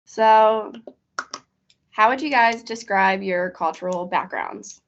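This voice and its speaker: A woman's voice: mid-range voice, slow pace, a little hiss or hum. A teenage girl hosting a casual school interview, upbeat and full of fillers. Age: teens. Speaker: student host. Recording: muffled lo-fi recording.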